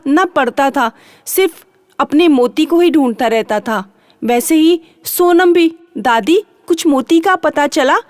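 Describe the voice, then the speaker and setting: A woman's voice: sits high, a little mellow, no room sound, clean studio sound. A woman narrating a children's bedtime story, repeating and drawing out phrases for drama.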